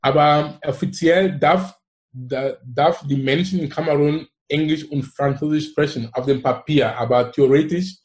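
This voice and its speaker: A man's voice: on the high side, measured pace, a little mellow. Effusive man with a Cameroonian accent. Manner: effusive, emphatic, fond of repeating phrases. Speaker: guest. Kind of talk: conversational interview.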